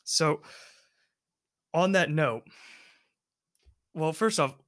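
A man's voice: on the high side, extremely slow, no echo, clean studio sound. A young man hosting a casual chat, talking loosely with lots of filler words. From United States. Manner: relaxed, rambling, many fillers. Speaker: host.

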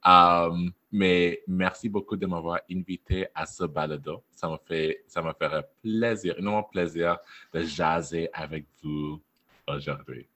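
A young man with a Franco-Albertan French accent, a podcast guest talking in a relaxed, thoughtful way with many fillers. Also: low-pitched, a little slow. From Alberta, Canada. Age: young adult. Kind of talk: casual conversational interview.

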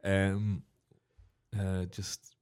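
A young man with a very low voice co-hosts a student radio show from the studio mic. He rambles, corrects himself and cracks jokes as he chats. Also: completely flat delivery, very slow, a little mellow, smooth. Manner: rambling, self-correcting, joking. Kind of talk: casual student radio chat. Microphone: studio microphone.

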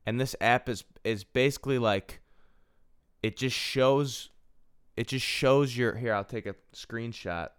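A man's voice: medium pitch, a little slow, smooth, no room sound, studio quality. A man in his twenties hosting a solo podcast, rambling casually and poking fun at himself, often repeating and restarting his phrases. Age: 20s. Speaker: podcast host.

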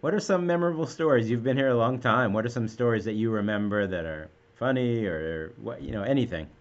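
A man's voice: medium pitch, lively intonation, brisk, highs cut off. A man hosting a casual interview, asking questions and reacting with encouragement and laughter. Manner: upbeat, encouraging, laughs along.

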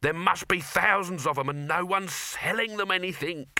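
A man's voice: sits high, moderate pace, bright, forceful tone, no room sound, studio quality, pitched above the performer's own voice, heavily acted. A man voicing a greedy, scheming street hawker who laments in a wheedling way. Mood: pained greed.

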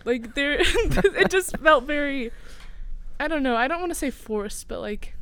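A woman's voice: high-pitched, expressive, a little slow, fairly bright tone. A teenage girl from Indiana, a student co-host, chatting in a casual, storytelling way with many fillers. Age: teens. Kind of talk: casual chat.

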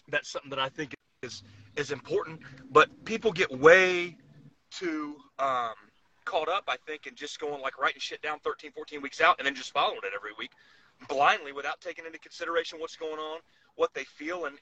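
A man with an intensely bright, forceful voice, sitting slightly high in pitch, co-hosts a casual podcast. He is blunt and insistent as he hands out training advice. He jumps in readily and talks loosely, swearing now and then. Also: brisk, slight background noise, rough recording.

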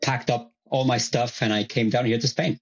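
A man in his thirties, a podcast guest, chatting casually and telling his story in a relaxed, wry, self-deprecating way over a video call. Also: mid-range voice, normal speed. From Italy. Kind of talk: casual conversation. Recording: dull lo-fi video call audio.

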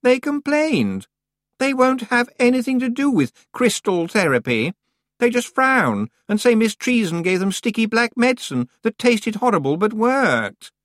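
A reader voicing a frantic, bossy teenage girl witch in an audiobook, shrill and whiny, stammering when upset. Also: sing-song, measured pace, no room sound, clean studio sound. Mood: complaining.

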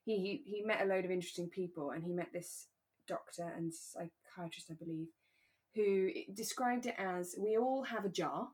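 A woman co-hosting a relaxed conversational podcast, talking in a candid, reflective and careful way. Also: fairly low pitch, moderate pace. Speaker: podcast co-host.

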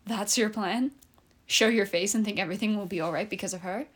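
A woman's voice: mid-range voice, a little fast, husky. A woman reading as a fierce young barkeeper woman. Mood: defiant. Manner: sharp, snapping. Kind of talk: reading own fiction aloud.

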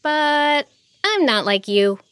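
A woman's voice: sits high, very animated, slow, very noisy, pitched above the performer's own voice, heavily acted. A woman voicing a young dragonfly princess who speaks formally and earnestly, nervous but brave. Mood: stern.

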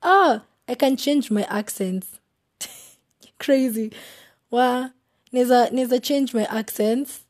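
A woman's voice: on the high side, takes it slowly, pauses often. Giggly young Kenyan woman podcasting. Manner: giggly and playful, trailing off into soft asides. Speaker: podcast host. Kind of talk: casual solo podcast chat.

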